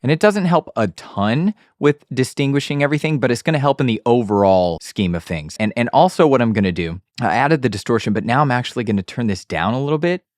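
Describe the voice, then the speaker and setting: A man's voice: mid-range voice, animated, fast, hardly any pauses, no echo. A man hosting an audio tutorial in casual, step-by-step talk with frequent check-in tags, close on a studio mic. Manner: informal, frequent check-in tags like okay and all right. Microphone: close studio dynamic mic on an arm.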